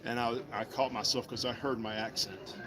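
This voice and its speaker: A man's voice: medium pitch, steady tone, moderate pace. Folksy woodworker guy chatting at his mall table, busy crowd all around. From Virginia. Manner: folksy, friendly, talks while carving. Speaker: woodworker demonstrating his craft to customers. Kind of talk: casual demonstration chat. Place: vendor table in an indoor mall.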